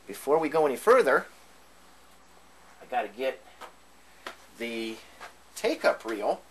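Male demonstrator for informal how-to video. Mid-range voice, explanatory step-by-step delivery, slight background noise. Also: medium pitch. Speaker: demonstrator of vintage tape equipment. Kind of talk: informal hands-on tutorial.

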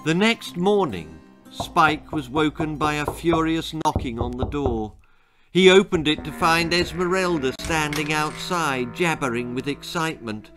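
Slow-paced British man in his sixties, storytelling. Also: fairly high pitch, animated, music in the background. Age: 60s. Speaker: children's author reading his own story. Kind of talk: dramatic storytelling. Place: small book-lined room indoors.

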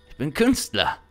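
A man playing an awkward, obsessive male artist in an improvised radio play, speaking in a stilted, abrupt way. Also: a bit bright. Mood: awkward, eager. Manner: stilted, abrupt, forced laughing. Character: awkward, intense, obsessive male artist with nervous forced laughter.

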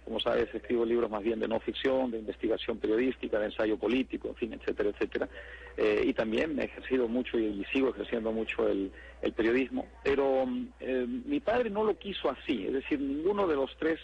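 A man with a Lima Spanish accent and a husky, gravelly voice, answering interview questions in a measured way with "eh" fillers. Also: mid-range voice, dull audio. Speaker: interviewed writer.

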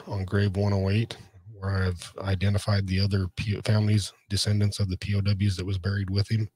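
Middle-aged male host, lowish even voice, close dry mic, informal halting introduction. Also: husky, no room sound. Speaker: podcast host and researcher.

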